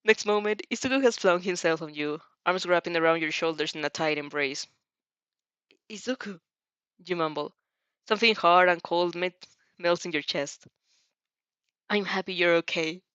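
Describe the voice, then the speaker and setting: A slightly low-voiced woman reads a fan story aloud in an expressive amateur style. She stumbles and repeats phrases as she goes. The sound is dry and a little dull. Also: a little fast, clean tone, dry recording. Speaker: fanfiction audiobook reader.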